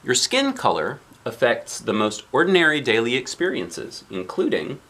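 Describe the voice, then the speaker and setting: A man's voice: mid-range voice, wildly swooping pitch, a bit bright. A man reading a picture book to children, with conversational asides and questions to the listener. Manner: asks the listener questions, pausing to explain pictures. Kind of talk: read-aloud storytelling with conversational asides.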